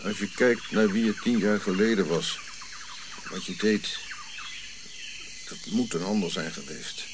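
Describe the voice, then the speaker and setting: An old prince in exile, dignified and weary. His low voice moves in measured, solemn phrases, as in a radio play. Clear nature noise of crickets and birds sits behind him. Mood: resigned, reflective. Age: older.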